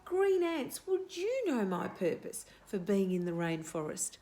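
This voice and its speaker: A woman voicing an earnest, puzzled young-at-heart male cassowary in a storybook, with a slow, polite, questioning way of talking. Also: medium pitch, sing-song, dark tone. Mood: inquiring.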